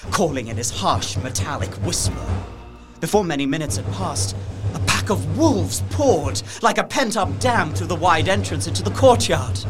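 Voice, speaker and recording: An actor playing a desperate, haunted young Victorian gentleman trapped in a castle, narrating his journal with intense, deliberate, formal delivery in a gothic audio drama. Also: fairly high pitch, close to an everyday voice. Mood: horrified, grim. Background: music.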